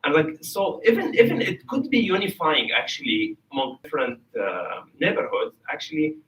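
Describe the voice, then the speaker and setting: A man's voice: a little high, huge pitch swings, normal speed, fairly bright tone. A man on a panel who tells anecdotes and acts out bits of dialogue. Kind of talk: panel discussion. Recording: distant mic.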